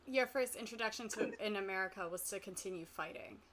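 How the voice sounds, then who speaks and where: A woman's voice: medium pitch, quick pace, a bit bright. A young woman acting as interviewer, asking prepared questions in formal, appreciative wording, with some background noise. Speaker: interviewer, interviewing own father.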